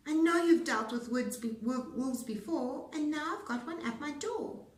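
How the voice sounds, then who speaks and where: Echoey room, lady doing a high squealy little pig, excitable, storybook cartoonish pleading. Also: normal speed. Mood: alarmed, pleading. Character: small excitable clever male storybook pig. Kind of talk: storybook read-aloud. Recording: roomy echo, distant mic.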